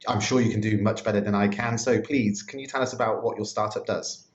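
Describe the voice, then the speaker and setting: A man's voice: on the low side, steady tone, fairly quick. A man hosting an interview podcast, chatty and casual, often hedging and using fillers. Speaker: podcast host. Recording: echoey dull-sounding room.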